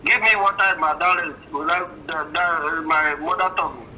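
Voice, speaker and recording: Give me a bright Nigerian caller on a phone line. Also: male voice, fairly high pitch, normal speed, a little hiss or hum. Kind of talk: phone call.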